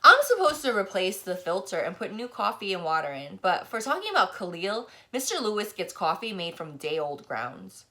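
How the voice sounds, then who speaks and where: A woman reading a first-person novel aloud as scripted audiobook narration, with expressive delivery. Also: mid-range voice, normal speed, few pauses. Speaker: audiobook reader.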